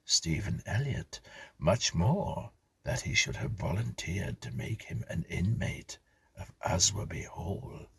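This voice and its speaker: A man reading a scripted ghost story as narrator, in a measured, expressive storytelling manner. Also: deep voice, vocal fry, breathy edge. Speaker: audiobook narrator.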